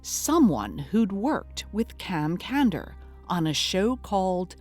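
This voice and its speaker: A woman's voice: medium pitch, sing-song, slow, a little mellow, faint music behind. A woman playing an earnest investigative podcast host, delivering scripted narration in a mock documentary audio drama. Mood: solemn, searching.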